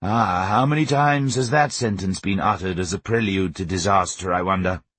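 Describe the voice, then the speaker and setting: A man's voice: low voice, moderate pace, a bit bright, husky, dry recording. A man voicing a middle-aged barrister father in a storybook, mild and sardonic, with courtroom rhetoric.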